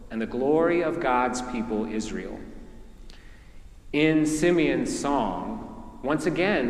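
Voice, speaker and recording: A male priest with a Southern accent delivers a sermon in a slow, measured manner, letting each phrase settle before moving on. His voice sits a little high for a man. The sound carries the long, roomy echo of a large church. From Mississippi, USA. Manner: slow, measured preaching with deliberate pauses. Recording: echoey church hall.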